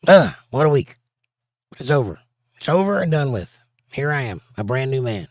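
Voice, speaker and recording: A man's voice: medium pitch, sing-song, normal speed, dry recording. Joking man hosting a chat stream. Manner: jokey, reads viewer comments aloud, breaks into silly bits. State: slightly hoarse and scratchy. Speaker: livestream host reading chat. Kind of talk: casual livestream chat.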